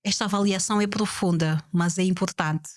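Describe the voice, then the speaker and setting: A woman guest on a podcast conversation, speaking reflectively, repeating her key points and posing rhetorical questions in a measured way. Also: on the low side, fairly even intonation.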